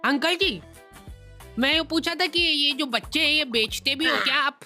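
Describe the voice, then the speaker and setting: A man's voice: very high-pitched, moderate pace, pushed and bright, soft background music, heavily acted. A man voicing a naive, earnest teenage runaway groom in a comedy cartoon. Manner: earnest, childishly clueless. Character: naive underage runaway groom seeking to buy a baby.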